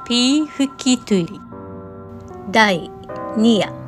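A woman's voice: a little high, expressive, takes it slowly, music in the background. A woman reading the scripted titles and credits of a radio drama programme. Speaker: programme announcer.

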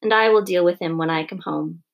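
A woman voicing a firm, reasonable husband and stern father in a storybook, measured and lecturing. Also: on the low side, creaky. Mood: reasoning.

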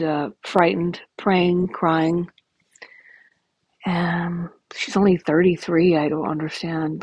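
A woman in her fifties speaks in a rough, gravelly, hoarse voice that sits a little low. She rambles candidly through a casual podcast update, dotted with ums. The sound is dry and close. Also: a little mellow, no room sound. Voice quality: raspy, gravelly voice. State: hoarse from illness. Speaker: podcast host.

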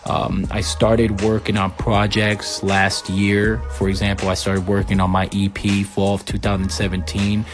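A man in his thirties, from Puerto Rico, hosting a solo podcast and telling his life story in an earnest, measured way with frequent "uh" and "um" fillers. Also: fairly low pitch, monotone, music in the background. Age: 30s. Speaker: podcast host.

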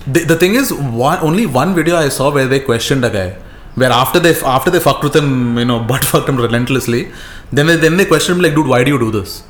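A man's voice: medium pitch, fast talker. Slangy Indian man in a roomy echo. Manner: slangy, profane, repeats himself for emphasis. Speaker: podcast co-host. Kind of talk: casual podcast chat, animated retelling. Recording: roomy echo, faint background noise.

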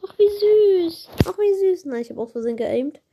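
A woman with a slight cold, giving excitable, chatty commentary on her own gameplay, swearing and apologizing as she plays. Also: very high-pitched, normal speed, heavy background noise. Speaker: gaming video creator.

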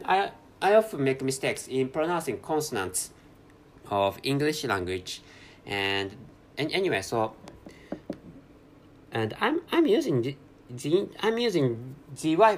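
Halting man with a Japanese accent. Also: medium pitch, a little hiss or hum. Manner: halting, constant restarts and self-corrections; speaks English slowly and carefully. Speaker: podcast host. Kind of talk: unscripted solo podcast monologue. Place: hotel room.

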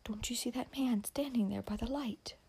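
A woman voicing a frightened, tearful young society lady in an audiobook, pleading in a trembling, breathy voice. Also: a little high, moderate pace, voice pushed up, heavily acted. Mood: fearful whisper. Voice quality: breathy, trembling, often whispering. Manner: gasping, excited, pleading.

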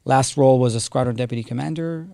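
A man's voice: mid-range voice, quick pace, creaky, warm tone, no room sound, studio quality. A man, telling his story as a guest with many fillers and restarts. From Israel. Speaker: guest, company co-founder. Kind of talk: casual interview talk.

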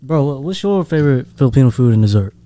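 Deep-voiced laid-back young man. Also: expressive, dark tone. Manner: laid-back, easygoing, says bro a lot, quick to laugh. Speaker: podcast co-host. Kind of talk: casual chat.

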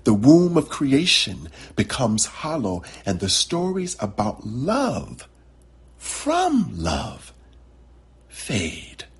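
A man voicing a wise, solemn old grandmother who tells mystical stories in slow, emphatic pronouncements. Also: mid-range voice, wildly swooping pitch, slightly rough, pitched above the performer's own voice, heavily acted. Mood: solemn, impassioned.

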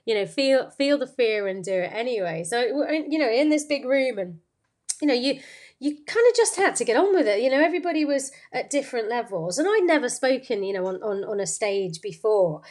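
A woman's voice: a little high, expressive, brisk, darkish tone. A woman hosting a podcast, talking through a motivational story in an unscripted, rambling way full of fillers and restarts. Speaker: podcast host.

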